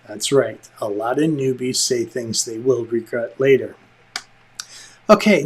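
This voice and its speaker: Guy hosting a how-to, bit raspy, voice on the high side, emphatic and jokey. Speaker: instructor and show host, film director. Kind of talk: instructional presentation, conversational.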